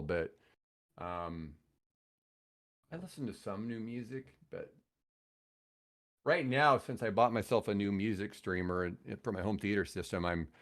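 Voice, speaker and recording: A man in his sixties with an American accent, chatting and telling stories casually as a podcast guest, with an opinionated manner. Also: lowish voice. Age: 60s. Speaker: podcast guest, radio host. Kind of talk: casual chat, rambling storytelling.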